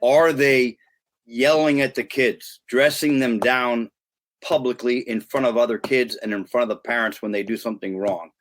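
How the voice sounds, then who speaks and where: A man's voice: medium pitch, fairly even intonation, moderate pace, fairly bright tone. Earnest middle-aged man with restarts. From United States. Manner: earnest and emphatic, with frequent restarts. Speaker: guest, youth sports consultant. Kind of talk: podcast interview talk.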